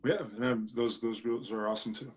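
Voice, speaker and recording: A young man with a deep voice, a podcast guest chatting casually and hesitantly, often restarting his words. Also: fairly even intonation, fairly quick, breathy edge. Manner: easygoing, hesitant, repeats words. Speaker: podcast guest, punk band singer. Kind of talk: casual chat.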